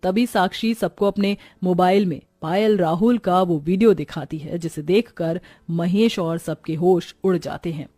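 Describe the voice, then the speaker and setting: A woman's voice: on the low side, unhurried, few pauses, warm tone, dry recording, studio quality, faint music behind. A woman reading scripted storytelling narration for a cartoon moral tale.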